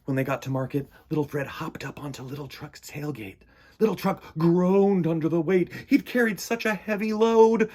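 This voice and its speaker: A man's voice: on the high side, moderate pace, warm tone. A man reading a picture book aloud to children with dramatic emphasis and stretched words. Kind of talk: animated children's story reading.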